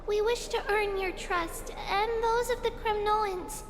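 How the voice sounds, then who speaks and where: A woman voicing a small, earnest, polite girl peacemaker in an anime-style fantasy game, pleading gently. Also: extremely high pitch, fairly even intonation, unhurried, a little hiss or hum. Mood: earnest, conciliatory. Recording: long reverb, music behind. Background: music.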